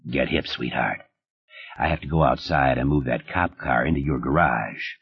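A man reads as a quietly menacing killer, flat and cold in a low, husky register, sinking even lower and softer than the narration. Each line comes out teasing and deadly calm, on a slightly dull recording. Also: moderate pace, full character voice. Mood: cold threat.

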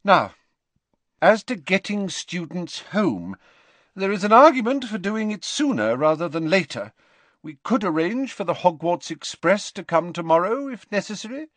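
Storybook casting: man plays older woman schoolteacher, raised pitch, brisk, formal and stern. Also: sing-song, fairly bright tone, dry recording.

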